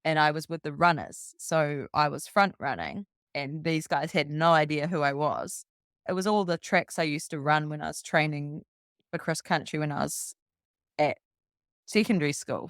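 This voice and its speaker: A New Zealand woman tells a rambling, quick-moving story and keeps slipping into what people said to her. Her voice is smooth and clean, recorded close and dry with no room around it. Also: deep voice, no room sound. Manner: brisk, rambling anecdote full of quoted dialogue. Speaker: podcast guest. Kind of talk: casual storytelling.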